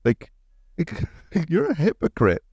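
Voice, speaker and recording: A man's voice: a little high, wildly swooping pitch, slow pace, darkish tone, slightly rough, no room sound, dull audio. A man hosting a podcast, chatting casually and bluntly with informal, opinionated phrasing. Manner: blunt, informal, mild swearing. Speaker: podcast host. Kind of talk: casual, opinionated interview chat.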